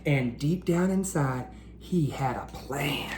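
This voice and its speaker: A woman reading a picture book aloud to children as a teacher, in a deliberate, engaging storytelling style. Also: deep voice, lively intonation, reverberant, noisy background. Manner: deliberate, engaging, asks listeners questions. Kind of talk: animated read-aloud storytelling.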